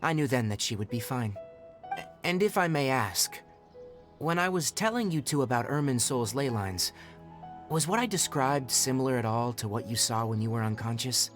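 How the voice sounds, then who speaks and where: An actor playing a composed, knowledgeable young male forest ranger in a video game, speaking in an articulate, measured and formal way. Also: mid-range voice, animated, close to an everyday voice. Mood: composed, explanatory. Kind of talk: scripted video game voice acting. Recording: background music under the voice. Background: game music.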